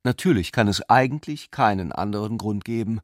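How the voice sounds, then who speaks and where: A male actor playing an ironic, courteous intellectual cousin in a German literary radio drama, speaking eloquently and deliberately. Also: on the low side, no room sound, clean studio sound, close to an everyday voice. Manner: eloquent, deliberate, earnestly polite.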